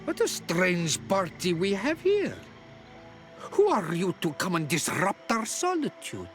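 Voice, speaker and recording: An old hermit from a fantasy game, cryptic, wary and curious. The voice sits high and moves very slowly, swinging widely in pitch as it muses haltingly over faint music. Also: male voice, wildly swooping pitch, heavily acted. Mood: wary, musing.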